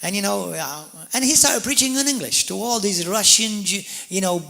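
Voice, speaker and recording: A man's voice: high-pitched, moderate pace, creaky. A man preaching a sermon as pastor, telling stories with energy, repeating and restarting words, and speaking straight to the congregation. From Malta. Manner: animated storyteller, repeats and restarts words, addresses the congregation directly.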